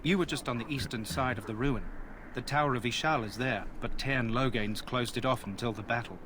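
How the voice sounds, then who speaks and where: A man's voice: mid-range voice, fairly quick, background noise, close to an everyday voice. An actor playing a friendly, chatty male soldier in a video game, talking in a folksy, gossipy way. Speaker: soldier in a war camp.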